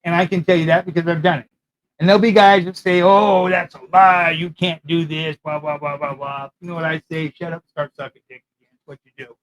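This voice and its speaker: Brash male ex-con from California; loud, profane, boastful, slightly raised pitch, rambling delivery. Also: little pitch movement, fairly quick, rough recording. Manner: blunt, profane and boastful street talk; rambling, loses his thread. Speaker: former prisoner sharing prison know-how. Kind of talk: casual monologue to camera. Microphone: talking into a mic.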